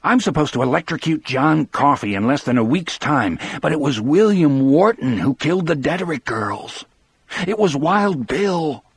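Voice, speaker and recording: A man's voice: on the high side, expressive, moderate pace, slightly rough, dry recording, pitched above the performer's own voice. A man voicing a troubled, earnest middle-aged prison guard in an audiobook. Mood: anguished. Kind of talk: audiobook character dialogue.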